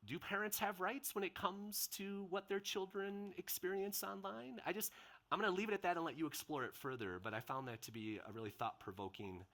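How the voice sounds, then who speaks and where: A man's voice: high-pitched, steady tone, fairly quick, a bit bright. A middle-aged man moderating a panel discussion in a conversational way, asking questions.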